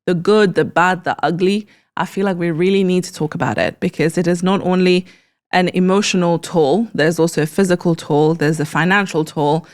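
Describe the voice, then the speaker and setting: This is a woman with a voice a little lower than most. She speaks as a podcast host in a conversational interview. Her delivery is earnest and effusive. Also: moderate pace.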